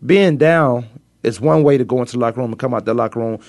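A man's voice: mid-range voice, fast, no echo. Emphatic man with a Virginia accent. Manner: animated, emphatic, repeats himself when excited. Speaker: sports talk host. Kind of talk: casual sports debate.

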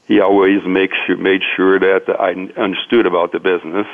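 A man from Brazil, a native Portuguese speaker with a Brazilian accent, giving an interview as a businessman in a halting, hesitant way. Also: low voice, flat delivery. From Minas Gerais, Brazil. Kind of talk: interview conversation, recounting own career. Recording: tinny phone-like line.

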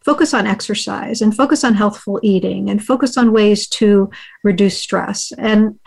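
A woman speaking as an interview guest, earnest and measured, on a video call, a Hungarian speaker from childhood. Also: mid-range voice, vocal fry, breathy edge. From United States. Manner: earnest, measured, uses frequent fillers. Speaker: podcast guest, investor and author. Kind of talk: reflective interview answers.

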